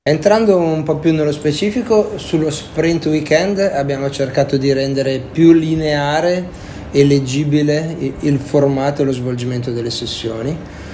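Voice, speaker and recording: A man, a team's sporting director, giving a deliberate, formal explanation of new season rules. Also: fairly high pitch, steady tone, dark tone, a little hiss or hum. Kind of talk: formal statement to camera.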